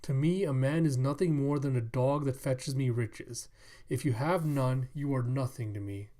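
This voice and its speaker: A man's voice: medium pitch, normal speed, dark tone, natural voice. Man reading as a haughty, scornful female courtesan. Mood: cold scorn.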